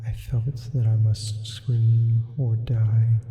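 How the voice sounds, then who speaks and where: A man voicing a sleep paralysis demon reading a horror confession in a hushed, often whispered ASMR style with added reverb. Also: mid-range voice, flat delivery, faint music behind. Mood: anguished, hushed. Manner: slow, hushed, deliberate. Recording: muffled, heavy reverb.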